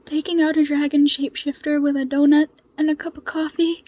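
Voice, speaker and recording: A woman's voice: high-pitched, level tone, warm tone, no room sound, lo-fi sound, pitched above the performer's own voice, natural voice. Woman voicing a flustered, awestruck young male intern. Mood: nervous, awestruck. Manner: halting, stammering, tentative.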